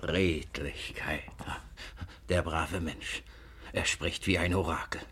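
An actor playing a domineering, gullible old miser of a father in a German radio comedy, theatrical and husky, muttering and exclaiming. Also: a bass voice, steady tone, takes it slowly, a bit bright, heavily acted. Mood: hushed, admiring. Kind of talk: classic German radio play comedy acting.

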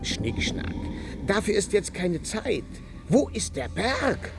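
Bossy, lively sandman. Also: sits high, slow, gravelly, very noisy, heavily acted. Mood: brisk, urging. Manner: commanding, theatrical. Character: brisk, bossy but kindly sandman sending children moonward. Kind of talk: children's radio play acting.

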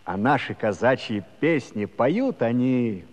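An actor playing a garrulous old Cossack villager, telling a comic tale with pompous, folksy turns of phrase. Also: male voice, medium pitch, animated, slow. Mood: storytelling. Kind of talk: comic storytelling in a musical radio play. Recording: dull, noisy old 1970s recording.

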